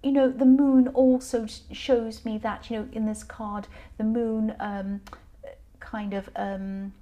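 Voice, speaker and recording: A slightly tired woman with a faintly croaky voice gives an informal tarot reading, explaining hesitantly and circling back to repeat her phrases. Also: fairly high pitch, flat delivery, slow, dark tone, dull audio. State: a bit tired. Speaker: tarot reader, channel host.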